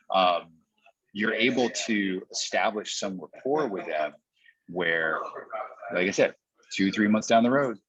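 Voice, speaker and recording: A man giving a conversational training talk as a coach, explaining things step by step with example lines. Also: a bit bright. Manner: explanatory, acts out example pitches in quotes. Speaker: podcast coach. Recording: dull, muffled lo-fi audio.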